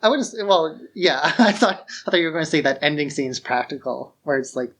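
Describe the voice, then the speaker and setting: A man's voice: on the high side, expressive, fairly quick, a bit bright. A man co-hosting a podcast, chatting casually about film in an informal, enthusiastic way. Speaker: podcast co-host.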